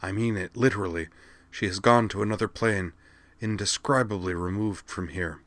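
A man voicing a tiny, haggard male master mage in a fantasy story, soft and raspy, speaking formally and gravely. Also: on the low side, moderate pace, no echo, close to an everyday voice. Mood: anxious, insistent. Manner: formal, solemn, earnest speech. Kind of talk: fantasy audiobook character dialogue.

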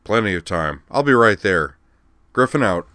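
A man's voice: on the low side, expressive, pushed and bright, no room sound. A man voicing a sly, genial ship captain. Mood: brisk. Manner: easygoing, faintly amused, briskly commanding. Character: middle-aged father and ship captain, Griffin, sly and genial. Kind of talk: audiobook character voice.

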